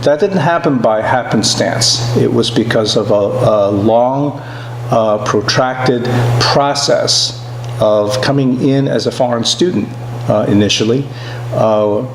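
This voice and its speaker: A man in his sixties speaking earnestly and haltingly as a guest in a conversational interview. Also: mid-range voice. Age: 60s. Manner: earnest, deliberate, halting phrasing. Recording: echoey room with clear background hum.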